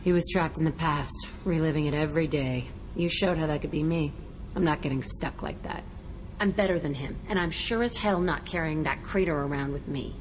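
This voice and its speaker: Woman acting hardened angry ex-prisoner, low echoey voice. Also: fairly quick, close to an everyday voice. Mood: guarded, wry. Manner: blunt, profane, defiant. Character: hardened, foul-mouthed young female biotic ex-prisoner, angry and wounded. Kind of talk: video game cutscene acting. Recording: echoey hall, clear background noise.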